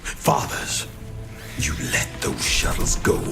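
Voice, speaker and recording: An actor voicing a gruff, defiant male army general in a video game cinematic, with a gravelly voice and a stern manner. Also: slow, lightly acted. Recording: voice under loud battle music.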